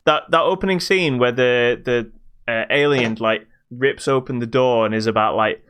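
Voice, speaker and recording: Clear, bright man, opinionated gamer. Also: mid-range voice, moderate pace. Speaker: podcast co-host. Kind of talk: casual gaming podcast chat.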